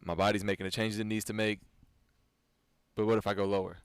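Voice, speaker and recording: A man's voice: on the low side, little pitch movement, fast, clean tone, no echo. A man co-hosting a fitness podcast, asking long questions and agreeing readily. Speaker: podcast co-host. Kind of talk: casual podcast discussion.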